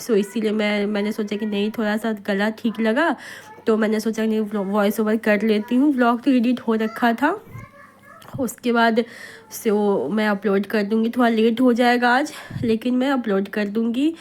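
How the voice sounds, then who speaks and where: Hoarse woman narrating her vlog. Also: a little high, steady tone, normal speed, darkish tone. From India. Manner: chatty, rambling, mixes English words into Hindi. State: hoarse with a cold. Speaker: vlogger. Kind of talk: casual voice-over narration.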